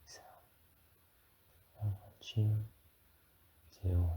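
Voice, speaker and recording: A man voicing a gentle, reassuring dragon guide in an ASMR guided meditation, soft-spoken and often whispering, in halting phrases. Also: sits low, a little hiss or hum, poor audio.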